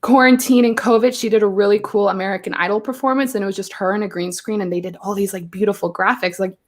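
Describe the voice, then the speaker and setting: A chatty woman from Belgium, speaking at a medium pitch. She tells stories in a lively way and slips into quoted asides as a guest on a live show. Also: fast. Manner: chatty, animated storytelling with acted-out quotes. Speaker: guest, choreographer. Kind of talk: casual interview chat. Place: home, live stream.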